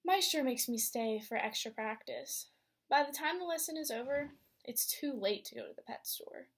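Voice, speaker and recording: Young woman doing a storybook read-aloud for kids, fairly high voice, lots of expression. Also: moderate pace, a little hiss or hum. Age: young adult. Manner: expressive storytelling with teacher questions. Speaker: student teacher reading aloud. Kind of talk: picture book read-aloud to a class.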